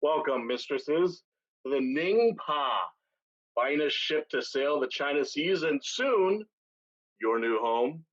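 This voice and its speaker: Slow, stately pirate captain acted by a man, muffled. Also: on the high side, animated, fairly bright tone, full character voice. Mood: welcoming. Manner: formal, stately. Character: courtly, commanding pirate captain. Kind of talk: staged play reading.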